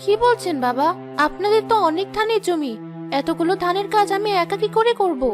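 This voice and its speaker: A woman's voice: very high voice, animated, a little fast. A woman voicing a hardworking, defiant young wife in a cartoon, aggrieved and protesting. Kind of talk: cartoon voice acting. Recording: music behind the voice.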